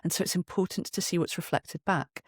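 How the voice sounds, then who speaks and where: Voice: medium pitch, steady tone, fairly quick, husky, no echo. Middle-aged podcast guest, dry close sound. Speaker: interview guest, voice actor and poet. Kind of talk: conversational podcast interview.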